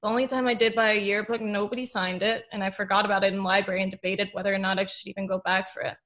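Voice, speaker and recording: A woman reading the part of a lonely, grieving teenage girl at a table read, talking quietly to the camera. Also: medium pitch, level tone, racing, close to an everyday voice. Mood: confiding. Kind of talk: table read of a film script.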